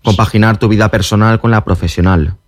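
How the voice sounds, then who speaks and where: A man's voice: lowish voice, fairly even intonation, brisk, no echo, clean studio sound. A male interviewer asking short questions and adding brief prompting remarks.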